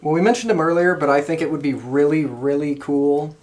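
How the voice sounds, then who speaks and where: Really deep voiced woman just chatting on a podcast, casual. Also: a little fast, darkish tone. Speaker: wrestling podcast host. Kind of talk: casual chat.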